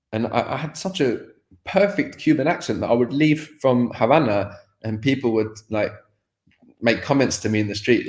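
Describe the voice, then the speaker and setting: A man's voice: medium pitch, darkish tone, reverberant. A man as a podcast guest, talking candidly about his past, reflective, with many fillers and restarts. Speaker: interview guest, language teacher. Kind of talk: candid personal conversation.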